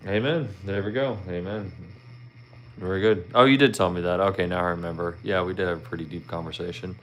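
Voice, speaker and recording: A man's voice: sits low, steady tone, a little fast. A man in his thirties with a Philadelphia accent, chatting casually with viewers on his livestream in a rambling, friendly way full of "you know". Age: 30s. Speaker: livestream host.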